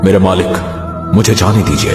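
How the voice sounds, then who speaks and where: A man's voice: sits low, brisk, very echoey. Man as a loyal, obedient servant spirit. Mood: deferential. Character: loyal, obedient male supernatural servant spirit, self-sacrificing. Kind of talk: dramatic horror audio story dialogue. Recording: loud music bed under voice.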